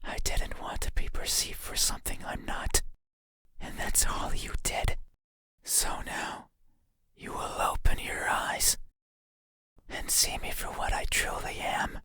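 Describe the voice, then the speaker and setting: An actor voicing a creepy, intimate bedside monster in a horror game, pleading and menacing in a breathy, insistent whisper. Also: close to an everyday voice. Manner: slow, insistent, eerily deliberate.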